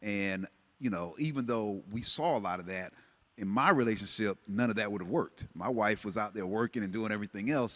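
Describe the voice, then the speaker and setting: A man born in Jamaica and raised in the United States, giving earnest, reflective personal testimony on a panel. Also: fairly low pitch. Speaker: relationship panel guest.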